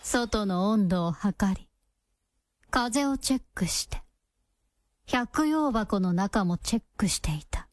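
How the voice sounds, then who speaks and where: Radio drama narrator, woman, scripted read, taking her time, medium pitch. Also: expressive, takes it slowly, long pauses, no room sound.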